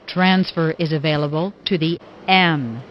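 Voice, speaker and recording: A woman's voice: deep voice, unhurried, lo-fi sound, slight background noise. A woman reading scripted transit announcements as a train announcer, in formulaic, carefully enunciated phrases that sound spliced together.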